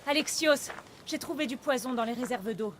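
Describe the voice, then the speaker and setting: Actress as earnest woman companion, fairly high voice, game drama, faint ambience. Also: normal speed, slight background noise, close to an everyday voice. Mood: grave. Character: earnest female ally reporting a discovery. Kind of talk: dramatic video game acting.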